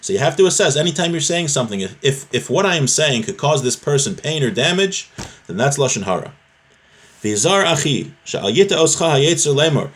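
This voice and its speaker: A man's voice: a little high, big pitch swings, fairly quick, a bit bright. A man giving an informal religious lesson, reading out a text and explaining it conversationally, often repeating key phrases. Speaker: religious teacher, rabbi.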